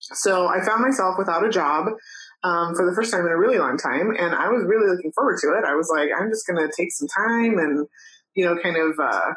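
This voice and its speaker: A woman in her thirties from New Mexico, talking casually as a podcast guest, chatty with many "um"s and soft laughs. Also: lowish voice, lively intonation, fairly quick, rough recording. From New Mexico, USA. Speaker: guest, photographer and educator. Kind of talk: casual interview chat.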